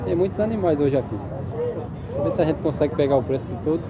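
A man's voice: a little high, animated, fast talker. A man with a Northeastern Brazilian accent, vlogging from an outdoor horse fair, chatting with sellers and repeating their prices back. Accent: Northeastern Brazilian Portuguese. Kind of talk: casual on-the-spot interviewing. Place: busy outdoor livestock fair. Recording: loud crowd outdoors.

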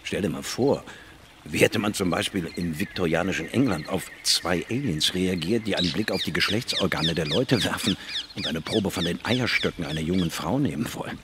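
An actor playing a confident, worldly biologist in a science fiction radio drama, dry and explanatory. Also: male voice, deep voice, moderate pace, noisy background, natural voice. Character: confident, worldly male biologist and space investigator. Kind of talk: radio drama dialogue and first-person narration. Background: music.

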